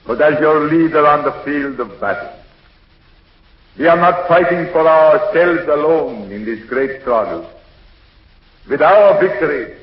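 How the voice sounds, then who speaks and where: A resolute monarch speaks slowly and solemnly in a slightly high voice. The oratory is stately 1940s radio drama, ringing in a large echoey space. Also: male voice, highs cut off.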